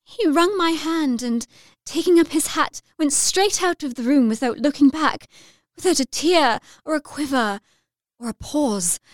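A woman's voice: high voice, a little slow, dry recording, studio quality. An actress playing a romantic, effusive young Victorian lady, girlish and emotional, narrating a letter in an audio drama. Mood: wistful, flustered. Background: music.

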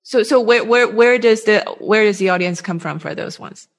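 Female interviewer, mid-range voice, casual and halting, restarting phrases as she goes. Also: mid-range voice. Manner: halting, repeats words and phrases. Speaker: interviewer, host.

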